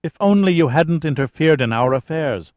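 A man's voice: fairly high pitch, expressive, normal speed, no echo, lo-fi sound, voice pushed up, close to an everyday voice. A man voicing a proud, principled Egyptian woman official in an audiobook novel, blunt, formal and emphatic. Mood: defiant, indignant.

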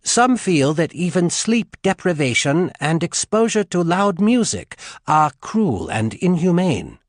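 Brief: man voicing a smooth, cultured officer in his forties with a faint British accent, raised and lively delivery, audiobook. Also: on the high side, a little slow, no room sound, studio quality, voice pushed up. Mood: suave, probing. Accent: slight British. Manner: smooth, polite, menacing. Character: cultured middle-aged male military interrogator.

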